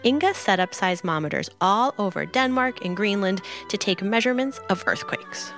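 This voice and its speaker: A woman's voice: mid-range voice, expressive, moderate pace, soft background music. A woman host giving scripted science narration for kids, explaining with playful comparisons. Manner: bright explainer, playful analogies. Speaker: podcast host.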